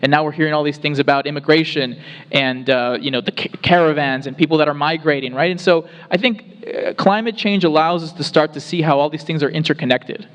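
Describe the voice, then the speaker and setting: A man's voice: on the high side, a little fast, fairly bright tone. A man from the Rio Grande Valley in Texas, a researcher speaking on a town hall panel in an earnest, explanatory way with many restarts. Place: town hall meeting room. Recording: reverberant hall.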